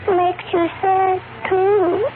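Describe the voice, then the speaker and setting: A woman playing an innocent, earnest small child in a radio spot, speaking simply and haltingly. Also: extremely high pitch, flat delivery, exaggerated voice. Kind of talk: radio public service spot dialogue. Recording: tinny old radio, faint noise.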